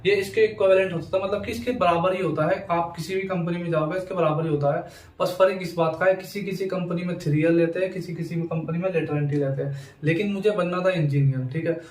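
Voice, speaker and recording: Young male education vlogger, higher-pitched and monotone, insistent, repetitive, informal address to students. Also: fast. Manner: repetitive, insistent, frequent verbal tags. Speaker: education YouTuber. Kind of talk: informal talk to camera for students. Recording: roomy echo, distant mic, faint noise.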